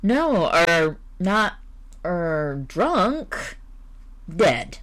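A woman's voice: on the low side, exaggerated voice. Woman reading a suave, cool detective. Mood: calm reasoning. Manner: suave, calm, deliberate. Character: suave, cool-headed male amateur detective.

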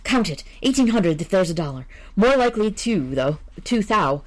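A woman's voice: mid-range voice, big pitch swings, normal speed. A woman voicing a desperate, terrified male gambler who stammers and babbles his pleas. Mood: panicked pleading.

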